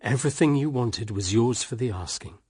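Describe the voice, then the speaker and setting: An actor playing a jealous, proud British husband in a period radio drama, formal, severe and accusing. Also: male voice, medium pitch, normal speed, a little mellow, breathy edge, natural voice. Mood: bitter, hurt. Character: stern, jealous, proud husband, cold and unforgiving.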